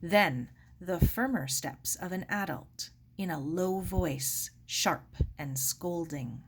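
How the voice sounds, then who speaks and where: A woman reading a children's novel aloud as narrator, in a measured, expressive storytelling manner. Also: lowish voice, background noise. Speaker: story reader.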